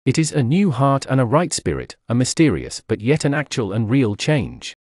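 A man's voice: medium pitch, sing-song, moderate pace, darkish tone, no room sound, clean studio sound. A man reading an old sermon aloud in an emphatic, declamatory preaching manner. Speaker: narrator reading a historical sermon. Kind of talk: scripted sermon reading, oratorical.

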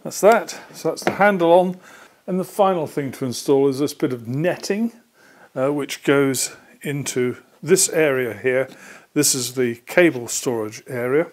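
A man's voice: medium pitch, unhurried. A British man in his sixties patiently explaining a hands-on task step by step, as a workshop presenter. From Britain. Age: 60s. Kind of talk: hands-on assembly tutorial. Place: indoor workshop.

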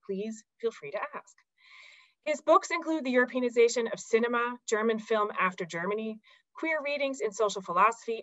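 A woman in her forties hosts an online talk, pausing often with fillers and restarts as she reads the introduction. Her voice sits in a middle range. The laptop audio is dry and somewhat dull. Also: mid-range voice, a bit bright, no echo. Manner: hesitant, with many fillers and restarts. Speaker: event host introducing the speaker. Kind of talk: webinar introduction. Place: home office on a video call. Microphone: laptop mic on a video call.

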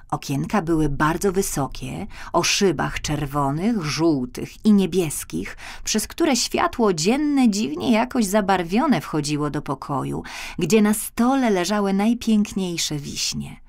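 Expressive woman narrator, lowish voice. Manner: expressive, measured storytelling. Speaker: audiobook narrator. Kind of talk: scripted children's fairy-tale narration.

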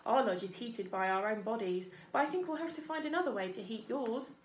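A woman voicing a stubborn, gruff adult male beaver in a children's storybook, blustering and grudging. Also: a little high, a little fast, echoey room, slight background noise, close to an everyday voice. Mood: friendly, advising.

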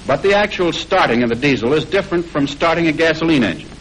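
High-pitched 1940s American training film narrator, male. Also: lively intonation, fast talker, fairly bright tone. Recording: noisy old 1940s film soundtrack.

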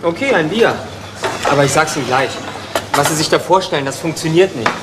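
An actor playing a young homeless petty crook, defensive and evasive, halting and repeating himself in a crime radio play. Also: male voice, on the high side, natural voice. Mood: wary, defiant. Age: young adult. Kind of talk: German radio crime drama acting. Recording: noisy retro radio drama recording.

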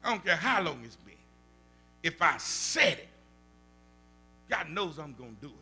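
An older man with a Southern US accent, a pastor teaching Bible study in a church sanctuary, preaching into a stand mic with call-and-response and rising shouts. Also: on the high side, big pitch swings, normal speed, faint music behind. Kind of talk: animated preaching. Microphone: stand mic at the pulpit.

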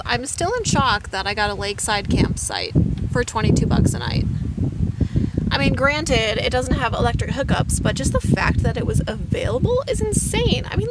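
A young woman chatting excitedly to her phone camera at a lakeside campsite, full of "like" and "I mean". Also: on the high side. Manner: gushing, chatty, lots of "like" and "I mean". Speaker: vlogger. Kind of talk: casual travel vlog narration. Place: outdoors at a windy lakeside campsite. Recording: loud wind noise on a phone mic. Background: strong wind and rustling leaves.

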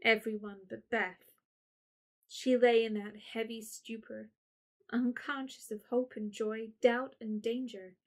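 A woman's voice: fairly high pitch, flat delivery, darkish tone. Woman narrating a period story. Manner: measured storybook reading. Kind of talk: scripted narration.

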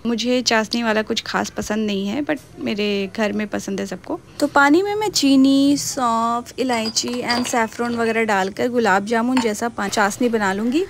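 A woman's voice: on the high side, a little slow, few pauses, slight background noise. Chatty young woman narrating her cooking. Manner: chatty, mixes in English words. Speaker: cooking vlogger. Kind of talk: casual voice-over narration of cooking.

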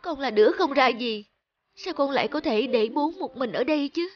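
A woman playing a gentle, sorrowful young woman in a cartoon drama, speaking earnestly and plaintively. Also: high voice, expressive, normal speed, highs cut off. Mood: remorseful. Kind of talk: cartoon drama acting with reflective narration.

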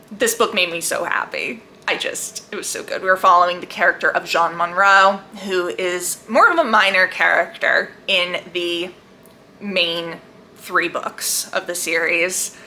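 A woman giving a casual, chatty book review to viewers in an animated, gushing, emphatic way. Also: on the low side, vocal fry, slight background noise. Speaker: book vlogger.

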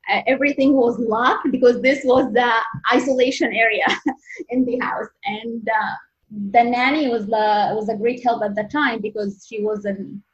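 Egyptian-accented woman, earnest and halting. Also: a little high, fairly even intonation. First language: Arabic. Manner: earnest, halting with many restarts and fillers. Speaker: medical resident, guest. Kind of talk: interview answers. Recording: dull lo-fi audio.

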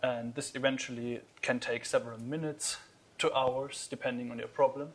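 A man's voice: mid-range voice, level tone, moderate pace. Man giving a conference talk, hesitant. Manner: many fillers and restarts, earnest explaining. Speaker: conference speaker. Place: lecture hall.

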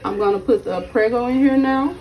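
Woman, hoarse from losing her voice, chatty cooking vlog host, home kitchen, handheld phone, faint background hum. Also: fairly high pitch, brisk, muffled recording. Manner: chatty, keeps addressing viewers directly. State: hoarse, partly lost voice. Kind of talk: casual cooking vlog narration. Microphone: phone held in hand while cooking.

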